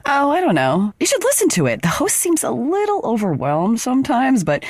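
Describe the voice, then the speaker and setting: A woman's voice: fairly high pitch, wildly swooping pitch, a little fast, darkish tone, breathy edge, no room sound, full character voice. An actress playing an upbeat, chummy woman confiding eagerly in a friend in a scripted audio comedy. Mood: excited, gushing.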